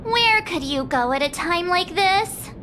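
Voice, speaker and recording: A woman's voice: very high-pitched, expressive, a little slow, background noise. A woman voicing a cute, candid young girl companion in a video game, with a girlish delivery. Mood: worried.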